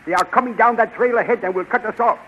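An actor playing an excitable comrade of the hero in a 1930s radio serial, urgent and declamatory. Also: male voice, high-pitched, quick pace, lightly acted. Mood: alarmed. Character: excitable male comrade of the hero, raising alarms. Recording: noisy, dull 1930s radio recording.

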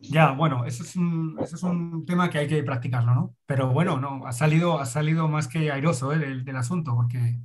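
A man's voice: fairly high pitch, a little fast. A middle-aged man, a watercolor teacher, giving encouraging critiques of students' paintings over a video call from a room at home, often repeating himself as he searches for words.

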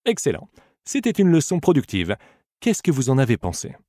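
A man's voice: medium pitch, huge pitch swings, a little fast, warm tone, no echo, studio quality. A man narrating a scripted bilingual audiobook lesson as a teacher, addressing the listener in an encouraging way.

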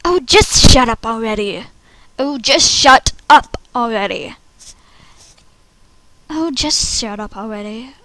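A woman playing an outspoken schoolgirl from an animated series in short, dramatic readings. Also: a little high, takes it slowly, pauses often, no room sound, heavily acted. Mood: annoyed.

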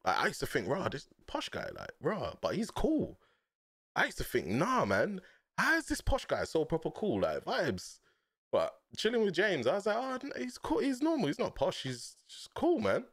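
A man, chatting casually on a stream from a small dim room through a headset, telling stories in relaxed slang and laughing often. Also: sits high, normal speed, husky, dry recording, studio quality. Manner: laid-back slangy storytelling, laughs often.

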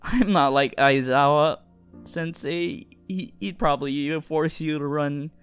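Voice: medium pitch, takes it slowly, clear, resonant tone, soft background music. A performer voicing a shy, earnest, lovestruck teenage boy hero in an anime roleplay, stammering tenderly. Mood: gentle, encouraging. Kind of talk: anime fan audio roleplay addressed to the listener.

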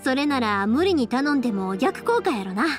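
A spirited, caring young anime game heroine with a Kansai accent. Her voice is high and very animated, earnest and colloquial, over soft music. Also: moderate pace. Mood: resolute. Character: spirited, caring young woman, fireworks maker. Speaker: voice actor in a game.

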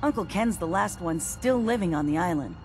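A woman's voice: lowish voice, animated, brisk, darkish tone, soft background music, lightly acted, rough recording. An actress playing an earnest, worried young townswoman in a video game, talking in a pressing way. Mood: worried pleading. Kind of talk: video game character acting.

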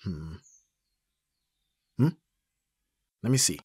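Husky, low-voiced, flustered young man, acted. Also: animated, drawn-out words, darkish tone, no room sound, clean studio sound, exaggerated voice. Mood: puzzled, piecing together. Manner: hesitant, sighing, stammering. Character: flustered, awkward young man, listener's best friend. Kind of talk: ASMR roleplay, one-sided intimate dialogue.

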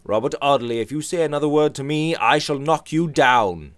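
A man voicing a proud, brooding young gentleman who answers curtly. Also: fairly low pitch, lively intonation, normal speed, dry recording, voice pushed up, natural voice. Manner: curt and clipped.

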